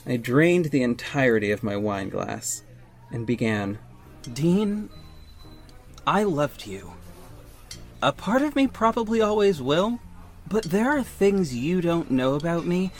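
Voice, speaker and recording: A wounded ex-lover, slow and earnest. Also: male voice, a little high. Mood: pained, pleading. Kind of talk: audio drama acting and first-person narration.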